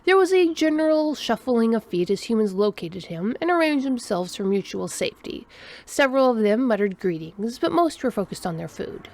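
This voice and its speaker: A woman's voice: on the high side, animated, normal speed, a little mellow. A woman narrating a scripted story as a storyteller.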